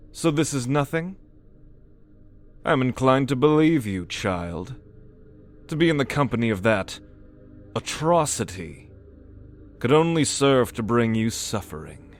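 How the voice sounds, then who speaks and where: Man playing a slow, pious zealot. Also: mid-range voice, lightly acted. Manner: solemn, preachy, measured. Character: male religious zealot, sternly pious and condescending, craving favour. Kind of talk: scripted audio roleplay, ASMR drama.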